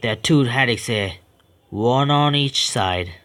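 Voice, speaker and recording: A man's voice: mid-range voice, a little slow, fairly bright tone, smooth. A young man streaming a horror visual novel, reading the text aloud with stumbles and breaking off into swearing, joking asides. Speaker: game streamer.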